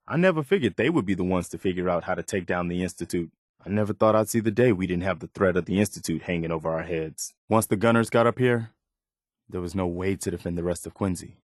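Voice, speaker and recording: Casting: male actor as steadfast American wasteland militia officer for a game companion role, lowish voice, plainspoken, very dry close sound. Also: no room sound, close to an everyday voice. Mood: relieved, hopeful. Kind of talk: video game companion voice lines.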